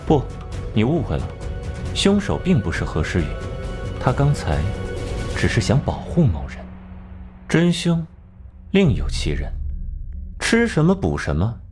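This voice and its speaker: A man playing a sharp, cocky detective in an animated drama, smugly laying out deductions with theatrical rhetorical questions. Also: mid-range voice, measured pace, long pauses, faint music behind. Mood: confident, accusing.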